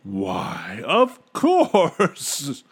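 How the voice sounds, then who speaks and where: A cranky old king from a storybook, growling and bellowing at everyone, voiced by a man reading the tale. His lines swing widely in pitch and sound set back from the mic. The room around him is dry and dead. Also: very animated, very slow, a bit bright, no room sound, exaggerated voice. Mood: gleeful, excited. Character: grumpy old king, loud and demanding.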